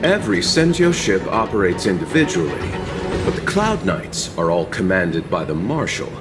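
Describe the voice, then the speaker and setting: An actor playing a courteous, composed wandering merchant in a video game, speaking formally and politely. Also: male voice, low-pitched, sing-song, normal speed, over loud music.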